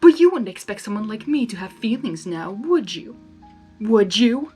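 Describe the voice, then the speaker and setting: A woman's voice: mid-range voice, sing-song, moderate pace, mellow, husky, soft background music. A woman voicing a bitter, wounded male villain monster in an accusing, sarcastic, growling manner for a story reading.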